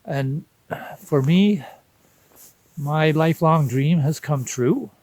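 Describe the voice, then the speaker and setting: A man, speaking a reflective personal monologue in an unhurried, contemplative way with deliberate pauses. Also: fairly high pitch, darkish tone, dry recording. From Canada. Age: 60s. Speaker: vlog host.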